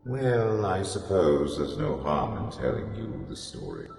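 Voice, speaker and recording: Male actor as gruff caretaker, theatrical and foreboding, widely swinging intonation, gravelly, echoing audio drama. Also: deep voice, huge pitch swings, a little slow, darkish tone, faint music behind, exaggerated voice. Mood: ominous, grave. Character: gruff, forbidding old-house caretaker, grudgingly willing storyteller. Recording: echoey hall with long reverb.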